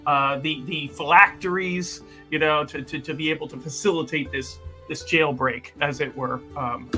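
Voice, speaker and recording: Guy recapping his character in a tabletop game, kinda high bright voice, keeps restarting, faint guitar behind. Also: steady tone. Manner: halting, searches for words, many restarts.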